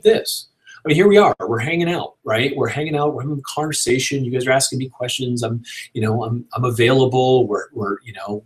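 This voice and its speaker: A man with a slightly raspy, tired voice, answering audience questions in a casual live Q&A about online business. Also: mid-range voice, rough recording. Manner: casual, energetic business coaching with frequent "you know" and "right?". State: slightly hoarse from long filming.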